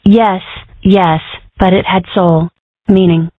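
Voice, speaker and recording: A woman's voice: fairly low pitch, steady tone, slow pace, no echo. A woman voicing a brash, sardonic young girl fox in a cartoon comic dub, with a dry, sarcastic delivery. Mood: exasperated. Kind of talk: comic strip dub acting. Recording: tinny phone-like band-limited audio.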